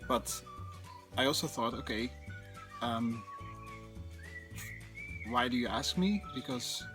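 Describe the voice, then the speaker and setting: A young Dutch man talks in English with a Dutch accent, calm and a little hesitant as he puts his thoughts together. His pitch sits in the middle. Music plays clearly behind him outdoors. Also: slow pace. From Netherlands. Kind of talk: casual chat to camera. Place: outdoor patio by a wooden fence.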